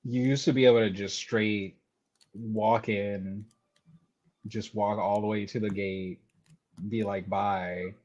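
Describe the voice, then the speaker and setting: A man's voice: lowish voice, steady tone, takes it slowly, long pauses, darkish tone, lo-fi sound. A man chatting casually in an informal, rambling, sweary way. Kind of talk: casual chat.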